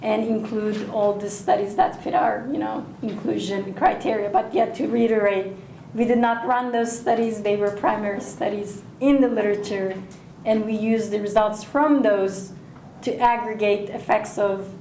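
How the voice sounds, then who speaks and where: A woman's voice: mid-range voice, flat delivery, moderate pace, mellow, slight background noise. Young woman explaining research, echoey room. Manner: explanatory, patient. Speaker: presenter. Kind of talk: academic Q&A answer. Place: seminar room, indoors. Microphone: far camera.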